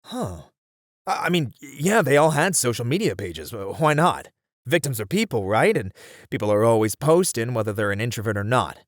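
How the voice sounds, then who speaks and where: A man playing a driven detective who thinks aloud in halting phrases, in a dramatic ASMR roleplay. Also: mid-range voice, very animated, dry recording, clean studio sound, natural voice. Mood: startled, intrigued, then excited realization. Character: driven male detective piecing together a serial killer case. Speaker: voice actor in a roleplay. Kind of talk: ASMR roleplay, dramatic one-sided dialogue.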